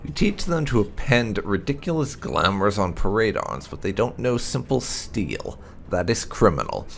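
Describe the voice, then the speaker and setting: A man hosting a gaming video, reading lore entries aloud in a theatrical, expressive way with occasional asides. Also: on the low side, normal speed, creaky, slight background noise.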